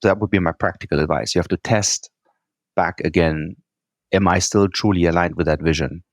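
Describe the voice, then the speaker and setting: A man's voice: sits low, steady tone, fairly quick, no echo, studio quality. A man from Germany with a German accent, answering interview questions earnestly and often checking in with "right?". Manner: earnest, repeats words, frequent "right?" tags. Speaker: guest executive.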